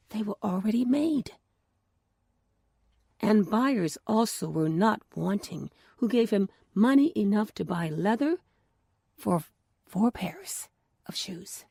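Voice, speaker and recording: Female children's storyteller: expressive and deliberate, mellow tone with some creak, scripted narration. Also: medium pitch, dry recording, clean studio sound. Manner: expressive, deliberate, with hushed phrases. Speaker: storyteller and podcast host.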